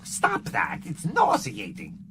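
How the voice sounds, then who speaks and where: A man voicing a greedy, excitable male Ferengi barkeep in an audiobook, with a high, nasal, whiny voice. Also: slow pace, noisy background, heavily acted. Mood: exasperated. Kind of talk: audiobook character reading.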